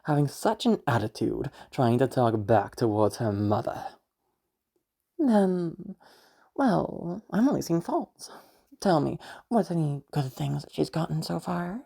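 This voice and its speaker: Woman acting a deep, gravelly, menacing pious mother. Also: very animated, dark tone, exaggerated voice. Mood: condescending contempt. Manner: condescending, taunting, drawn-out pauses and hums. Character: cruel, pious, domineering wealthy mother, contemptuous and menacing. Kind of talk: amateur audio roleplay monologue.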